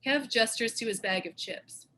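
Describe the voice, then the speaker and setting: A woman's voice: fairly high pitch, normal speed, pushed and bright, slight background noise. A woman reading stage directions as scripted narration for a screenplay table read.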